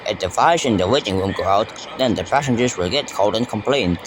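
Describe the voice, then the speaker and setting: A man's voice: fairly low pitch, animated, a little fast, background noise, voice pushed down, close to an everyday voice. A man voicing a stern, dignified male railway boss who gives firm instructions.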